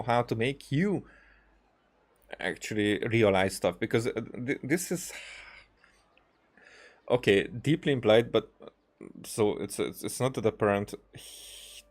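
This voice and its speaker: A man giving casual let's-play commentary, rambling and often halting as he searches for his words. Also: on the low side. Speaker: game streamer, commentator. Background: faint game music.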